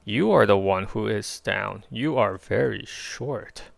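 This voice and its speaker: A man voicing a gruff, blunt, literal-minded alien warrior in a deadpan storybook delivery. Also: on the low side, takes it slowly, no echo, heavily acted.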